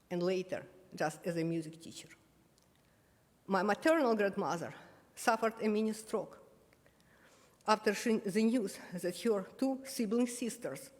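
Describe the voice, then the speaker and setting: Older woman, solemn and measured, mid-pitched, long hall echo. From Ukraine (Kyiv). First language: Russian. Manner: measured, solemn, small slips of the tongue. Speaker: guest speaker at remembrance ceremony. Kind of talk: read personal reflection.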